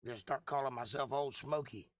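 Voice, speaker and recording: A man with a hoarse, froggy voice hosting a casual livestream, rambling and joking at his own expense as he replies to viewers. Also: mid-range voice, moderate pace, dry recording, studio quality. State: lost voice for months, throat clearing. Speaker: livestream host.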